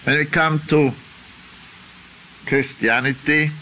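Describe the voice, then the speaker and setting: Older man, Swiss accent, teacher lecturing, very slow delivery, sharp bright tone, deliberate, thinking aloud. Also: fairly high pitch, steady tone, a little hiss or hum, low-quality recording. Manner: deliberate, thinking aloud, tags questions with "huh?". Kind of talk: unscripted lecture.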